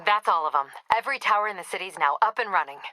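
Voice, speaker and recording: A tough female police captain for a video game. Her voice is bright and forceful, in a very dry, close recording. She speaks in a clipped, authoritative way, dutiful and a little wry. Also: mid-range voice, expressive, fast talker, dry recording, poor audio. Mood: businesslike. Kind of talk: video game dialogue acting.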